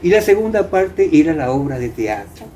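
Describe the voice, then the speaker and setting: An Argentine man recounting history conversationally as an interview guest, halting now and then as he searches for names. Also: on the high side, very animated, dark tone. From Entre Ríos, Argentina. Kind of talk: conversational storytelling. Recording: muffled, clear background noise.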